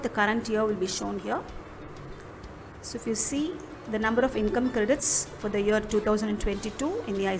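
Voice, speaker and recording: A woman with an Indian accent narrating an instructional software demo, explaining step by step in a measured manner. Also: mid-range voice. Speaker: product demo presenter. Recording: music behind the voice. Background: background music.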